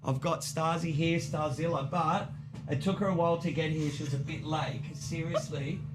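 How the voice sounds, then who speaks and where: A man hosting a podcast, relaxed and casual, dropping lots of short yeahs. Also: a little high, normal speed, music in the background, low-quality recording. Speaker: podcast host. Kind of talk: casual interview chat.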